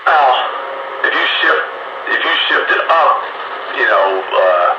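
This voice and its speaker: A man's voice: medium pitch, lively intonation, measured pace. A man in casual technical chat as a radio hobbyist, talking over a two-way radio. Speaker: amateur radio operator. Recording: noisy, muffled, echoey, distant radio transmission.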